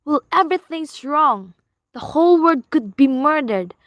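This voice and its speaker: High-voiced, nervous, demanding bedridden woman, acted out by a woman. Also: lively intonation, unhurried, no room sound, dull audio. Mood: indignant. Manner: agitated, imperious, complaining. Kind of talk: melodramatic radio play acting.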